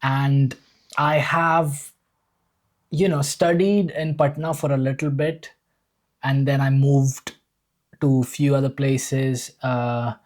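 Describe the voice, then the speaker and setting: A man's voice: mid-range voice, steady tone, takes it slowly, long pauses. A man from India with an Indian accent, telling his life story earnestly and enthusiastically in a casual podcast conversation. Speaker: podcast guest, usual host.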